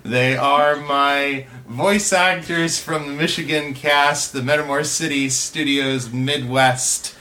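A man's voice: a little high, fairly even intonation, slow pace, few pauses, pushed and bright, slight background noise. A man hosting a podcast, giving introductions and chatting casually with his guests. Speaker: podcast host. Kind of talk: scripted introduction and casual round-table chat.

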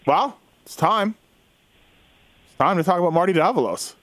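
Male podcast host, higher-pitched, loose joking banter about racing, recorded dry and close. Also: lively intonation, moderate pace, a bit bright, no room sound. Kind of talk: casual sports banter.

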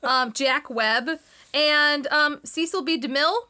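A woman's voice: sits high, unhurried, bright, forceful tone. A woman co-hosting a casual podcast chat, stuffy and sniffly, chatty and teasing. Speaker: podcast co-host.

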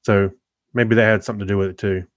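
A man's voice: on the low side, steady tone, fast, dry recording, clean studio sound. A middle-aged man chatting casually as a podcast co-host. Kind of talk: casual chat.

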